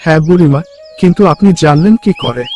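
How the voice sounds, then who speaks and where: Man acting poor young day labourer, highish voice, earnest, respectful, cartoon dialogue, faint music. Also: lively intonation, fast talker, darkish tone, natural voice.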